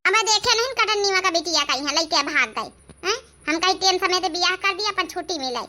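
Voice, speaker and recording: A woman's voice: extremely high pitch, lively intonation, fairly quick, pushed and bright, slight background noise, heavily acted. A woman voicing a scolding, fretful village mother in an Awadhi-accented cartoon comedy, nagging and complaining. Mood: nagging, insistent.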